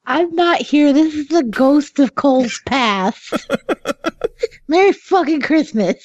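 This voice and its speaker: A hoarse woman co-hosting a podcast in casual chat, laughing often and swearing freely. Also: high voice, lively intonation, slow, no room sound, muffled recording. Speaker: podcast co-host.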